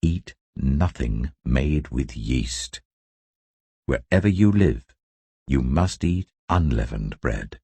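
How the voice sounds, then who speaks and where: Man narrating the bible, super low husky voice, solemn n deliberate, really moves up and down in pitch. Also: expressive, mellow, no echo. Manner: solemn, deliberate, emphatic phrasing. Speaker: scripture narrator. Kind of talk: scripted audio Bible reading.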